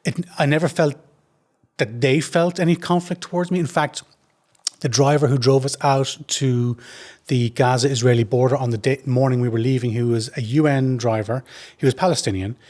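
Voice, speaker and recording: Earnest Irish man, measured telling. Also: mid-range voice, steady tone, a little mellow. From Ireland. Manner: earnest, measured, with frequent restarts. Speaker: trauma surgeon guest. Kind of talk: reflective interview answers.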